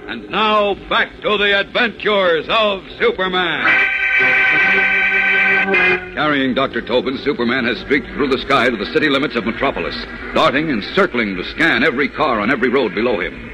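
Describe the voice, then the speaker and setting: A man's voice: mid-range voice, expressive, brisk, hardly any pauses, dull audio, close to an everyday voice. A man narrating as announcer in 1940s radio serial style, with dramatic, declamatory scripted delivery. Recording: vintage radio recording. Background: prominent music.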